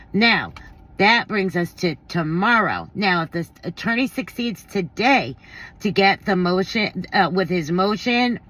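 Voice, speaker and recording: A woman's voice: lowish voice, fairly bright tone, poor audio. A woman giving casual opinion commentary, thinking aloud in a blunt, informal, slangy way. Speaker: commentary vlogger.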